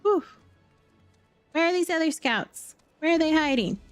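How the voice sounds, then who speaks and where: A woman's voice: high voice, animated, measured pace, dry recording. Playful woman streaming a game over music. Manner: playful muttering to self, short exclamations. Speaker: live streamer. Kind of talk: casual gameplay commentary, reading game dialogue aloud. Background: background music.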